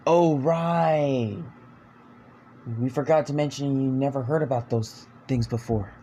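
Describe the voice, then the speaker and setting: A man performing fan-made superhero script lines as an amateur voice actor, with halting, deliberate phrasing. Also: medium pitch, dark tone, a little hiss or hum.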